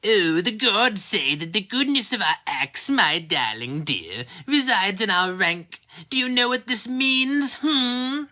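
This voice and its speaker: Man doing a shrill old grandmother, drawling, singsong, mad and mocking. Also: big pitch swings, slow, pushed and bright, exaggerated voice.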